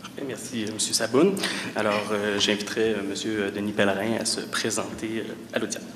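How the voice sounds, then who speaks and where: Male moderator, low and flat, polite and brief, reverberant hall. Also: a little fast, a little hiss or hum. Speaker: debate moderator. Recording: reverberant hall, off-mic at times.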